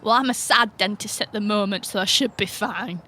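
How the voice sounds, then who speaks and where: Woman doing a rambling defensive sad dentist, bright forward voice, tabletop game chat. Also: medium pitch, fairly quick, a little hiss or hum, close to an everyday voice. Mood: glum. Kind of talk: improvised role-play dialogue.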